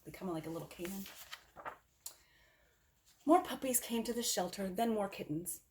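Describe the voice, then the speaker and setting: Female storybook reader, mid-range pitch, expressive read-aloud delivery for young children. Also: normal speed, slight background noise.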